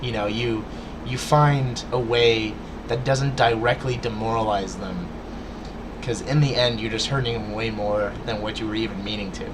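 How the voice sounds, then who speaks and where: A man's voice: lowish voice, fairly even intonation, normal speed, noisy background. Earnest stuttering man ranting to camera. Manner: stutters, restarts, many fillers; earnest, lecturing, addresses viewers directly. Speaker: vlogger. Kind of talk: casual rant to camera.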